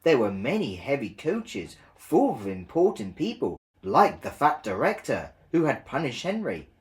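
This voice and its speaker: Female storybook narrator, low-pitched, slow pace, lively intonation for children's read-along. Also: slight background noise.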